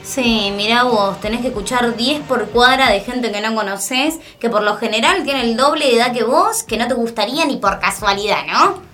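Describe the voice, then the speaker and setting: Actress as a bright-voiced young Argentine student friend. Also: female voice, on the high side, animated, moderate pace, hardly any pauses, faint music behind. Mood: fed up. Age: young adult. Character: sympathetic young female student, fed up with catcalls. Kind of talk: naturalistic radio drama dialogue.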